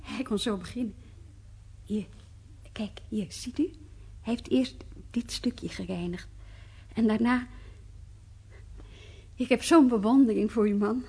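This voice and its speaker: Husky, courteous woman conservator. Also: on the high side, unhurried, pauses often, mellow. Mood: polite admiration. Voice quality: husky, breathy. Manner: polite, hesitant, explaining carefully. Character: courteous woman art conservator admiring a colleague's work. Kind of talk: naturalistic radio drama acting.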